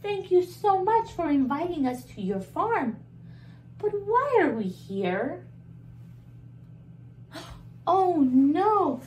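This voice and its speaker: A woman in her thirties teaching toddlers in a video, talking to the children in an animated, exaggerated way with gasps and questions, filmed at close range in a small room. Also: very high voice, takes it slowly, pauses often, slight background noise, low-quality recording.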